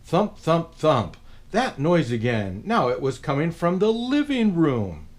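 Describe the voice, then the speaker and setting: Animated man reading a picture book aloud. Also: on the high side, unhurried. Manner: animated, with playful asides to young listeners. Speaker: storyteller in a Santa persona.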